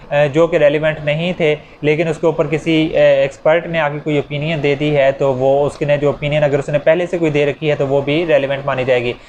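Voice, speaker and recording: A man's voice: on the high side, slight background noise. A man with a Pakistani Urdu accent giving a conversational law lecture, explaining points through worked examples.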